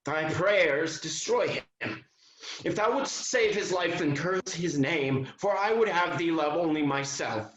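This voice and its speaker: A performer playing a swaggering, ardent young gentleman soldier in a period comedy, with grandiose declamation. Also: medium pitch, lively intonation, a little slow, roomy sound, lo-fi sound. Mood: proudly ardent. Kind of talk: theatrical Restoration play acting.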